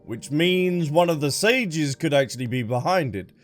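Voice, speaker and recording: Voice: medium pitch, expressive, moderate pace. A gaming streamer giving casual commentary while playing. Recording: game music under the voice. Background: game music.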